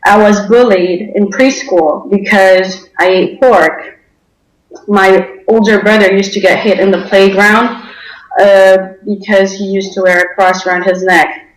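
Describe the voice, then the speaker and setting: A woman's voice: mid-range voice, fairly even intonation, measured pace. A young woman from Denmark giving personal testimony as an interview guest, speaking hesitantly, in a roomy, echoey sound.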